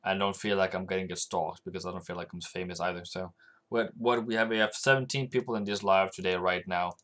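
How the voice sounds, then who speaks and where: A man's voice: deep voice, steady tone, fast talker, fairly bright tone. A man from Norway chatting casually on a trading livestream, rambling and answering viewers' comments as they come in.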